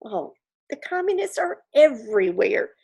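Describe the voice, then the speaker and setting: A woman's voice: a little high, big pitch swings, moderate pace, gravelly, a little mellow, breathy edge, exaggerated voice. A woman playing a proud, gossipy 1940s Hollywood stage mother in a theatrical monologue, chatty and self-satisfied, with confiding asides. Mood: self-righteous. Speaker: actor in a staged reading.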